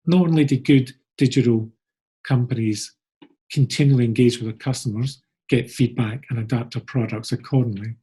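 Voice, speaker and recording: A middle-aged man with a Scottish accent presents in an upbeat, friendly way. His voice is warm and mellow with a slightly husky edge. It sounds like a pre-recorded conference talk. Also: mid-range voice. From Scotland. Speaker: agency co-founder presenting.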